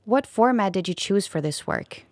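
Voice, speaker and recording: A woman's voice: mid-range voice, animated, moderate pace, darkish tone, dry recording. A woman, presenting a podcast in a scripted way as its host. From Serbia. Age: 20s.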